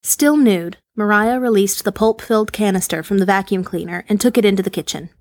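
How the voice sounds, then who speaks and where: A woman reading a short horror story aloud in steady, measured narration. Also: mid-range voice, no echo, clean studio sound. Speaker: story reader.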